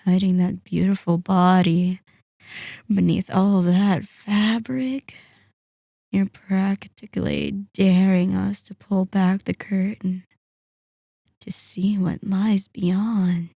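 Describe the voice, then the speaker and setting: A woman playing a seductive, sadistic vampire in an ASMR roleplay, courtly and teasing, with breathy murmurs. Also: medium pitch, steady tone, slow, dark tone, no room sound, clean studio sound. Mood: seductive, admiring. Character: seductive, sadistic, possessive female vampire, toying host. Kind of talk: ASMR roleplay, scripted character acting.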